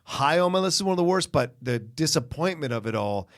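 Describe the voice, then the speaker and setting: A man's voice: fairly high pitch, animated, brisk. A man co-hosting a podcast, giving blunt, emphatic, sweary opinions in casual film chat. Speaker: podcast co-host.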